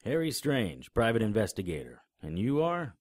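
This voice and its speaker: Tough sardonic male private investigator, low-pitched, terse, radio drama, dry studio. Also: wildly swooping pitch, moderate pace, no echo. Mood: urgent, terse. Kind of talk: audio drama acting with noir narration.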